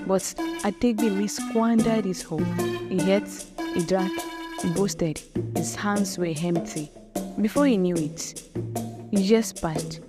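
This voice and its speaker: A woman's voice: medium pitch, slow pace. A woman narrating a folktale in a dramatic, vivid storytelling style. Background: music.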